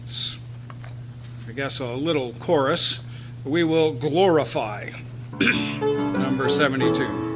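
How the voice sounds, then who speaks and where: A man leading a church service, quietly announcing hymn numbers and thanking the musicians in a sanctuary. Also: medium pitch, slow, long pauses, low-quality recording. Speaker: song leader. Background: instrumental music.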